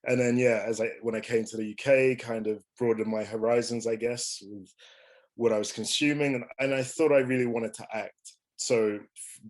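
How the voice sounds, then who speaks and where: A young man from Rwanda, a filmmaker guest speaking on a remote call, relaxed and hesitant with many fillers. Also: medium pitch. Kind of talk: interview. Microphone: remote call from London.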